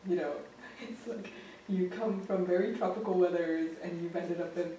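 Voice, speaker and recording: A young woman with a lowish voice hosts a relaxed campus chat, peppering her questions with fillers and giggles. She sounds a little way off the mic, in a very echoey room with faint background noise. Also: normal speed, distant mic. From grew up in Japan. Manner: chatty, giggly, many fillers. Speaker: host. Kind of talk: casual campus interview.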